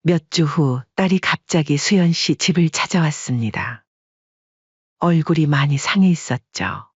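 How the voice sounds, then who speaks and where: A steady narrator tells a family drama in a calm, even way. The voice is husky and mid-pitched. The recording is dry and a bit dull. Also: measured pace, dry recording. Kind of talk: scripted storytelling narration.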